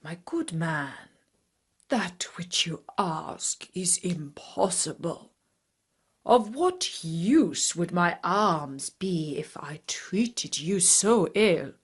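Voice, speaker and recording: A dignified storybook Caliph, played by a woman, commanding yet gentle. He speaks in stately, formal sentences with a measured, curious manner. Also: lowish voice, sing-song, slightly rough, heavily acted. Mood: gentle refusal. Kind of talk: children's storybook reading.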